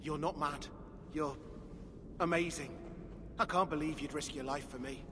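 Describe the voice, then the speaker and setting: A man's voice: on the high side, fairly even intonation, moderate pace, background noise, close to an everyday voice. An actor playing an impassioned male dwarf devoted to his love, in a fantasy video game cutscene. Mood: tender.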